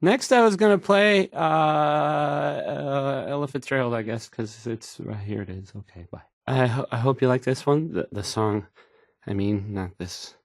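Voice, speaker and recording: A man's voice: mid-range voice, creaky, no room sound, studio quality. An actor playing an awkward, insecure young male radio DJ who stammers and gets flustered introducing songs. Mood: nervous. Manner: halting, stammering, flustered, self-doubting. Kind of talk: stumbling radio song introductions.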